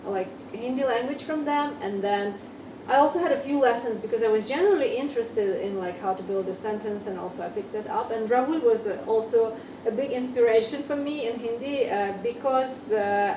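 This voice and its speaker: A fairly high-voiced woman from Ukraine, her first language Ukrainian, talking as a guest in an interview. She pauses often and fills gaps with small hesitations. The recording sounds echoey and distant, with steady noise behind her. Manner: hesitant, many fillers. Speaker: guest author. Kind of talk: interview conversation. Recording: echoey room, distant mic, noisy.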